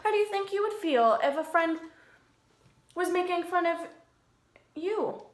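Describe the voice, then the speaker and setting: A woman's voice: very high voice, expressive, unhurried. A woman preschool teacher reading aloud to young children, talking simply and putting questions to them. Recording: echoey room.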